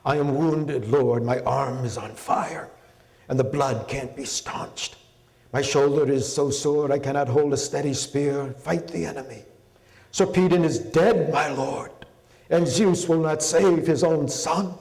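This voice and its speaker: A man's voice: a little high, a little slow, gravelly, a little mellow, voice pushed up. A man voicing a wounded, grieving warrior in an epic poem, pleading in an impassioned, declamatory way. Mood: anguished. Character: wounded, grieving warrior praying and rebuking his lord. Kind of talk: dramatic epic poetry reading. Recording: echoey hall with long reverb.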